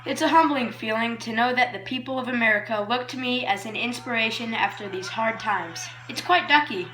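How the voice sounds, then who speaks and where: A woman's voice: fairly high pitch, brisk, pushed and bright, echoey room, poor audio. A woman playing a proud, cheerful male baseball star in an amateur 1920s radio play. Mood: proud, happy.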